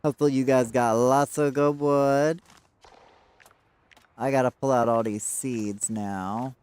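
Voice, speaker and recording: Slow-talking streamer losing his voice. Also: medium pitch, fairly even intonation, darkish tone, clear, resonant tone. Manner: chatty, upbeat, addresses viewers as y'all. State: losing his voice, clearing throat. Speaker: game streamer. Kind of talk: casual live-stream chat to viewers.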